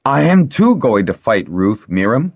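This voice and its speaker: A stubborn young dragonrider, as a male narrator plays him, proud, grumpy and quick to taunt. The voice rises above the low narration and swings animatedly. It is fantasy storybook dialogue. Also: expressive, darkish tone, husky, in a large hall. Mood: defiant. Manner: defiant, grumpy.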